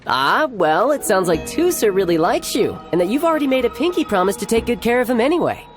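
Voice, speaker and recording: A man's voice: sits high, big pitch swings, brisk. A young man playing a breezy, self-assured, politely teasing older brother in a video game. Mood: warm. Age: young adult. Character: breezy, smooth-talking young gentleman, doting older brother. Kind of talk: scripted video game character acting. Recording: music behind the voice. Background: game music.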